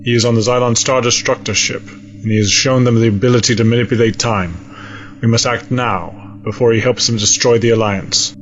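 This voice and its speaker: A man playing a cold, resolute alien space-fleet admiral in a sci-fi audio drama, speaking in formal, measured, stiff diction. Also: medium pitch, steady tone, muffled recording, a little hiss or hum, close to an everyday voice. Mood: urgent, controlled.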